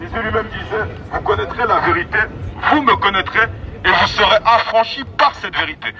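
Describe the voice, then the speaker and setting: A man's voice: high-pitched, a little fast. A man street evangelist giving an exhorting, repetitive open-air sermon in emphatic phrases through a megaphone. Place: outdoors in an urban public street. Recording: dull, distant megaphone sound, loud street noise. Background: traffic and city ambience.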